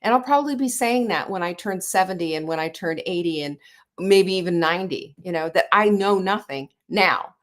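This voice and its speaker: A woman in her sixties with a somewhat low voice gives an earnest, conversational talk as a show host. She circles her points with fillers and self-corrections, speaking straight to her listeners. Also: moderate pace. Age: 60s. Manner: earnest, conversational, many "you know" fillers and restarts. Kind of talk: motivational talk.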